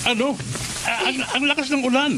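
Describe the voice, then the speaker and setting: A woman's voice: sits high, steady tone, fairly quick, natural voice. A woman voicing a worried, flustered father who stammers in a radio drama. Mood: alarmed, flustered. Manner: stammering, fretful. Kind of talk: Filipino radio drama acting. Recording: clear rain noise behind the voice.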